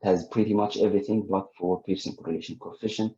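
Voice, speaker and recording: A man's voice: sits low, fairly even intonation, fast, dark tone, lo-fi sound. A man giving an academic webinar presentation, talking through results with frequent fillers and restarts.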